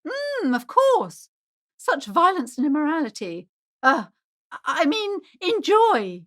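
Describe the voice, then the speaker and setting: An actress playing an anxious, prim, superstitious middle-aged bookshop owner in a video game, fussy and theatrically earnest. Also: female voice, high voice, wildly swooping pitch, takes it slowly, a bit bright, full character voice. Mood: fussy, selling. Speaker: shopkeeper. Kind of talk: video game character dialogue.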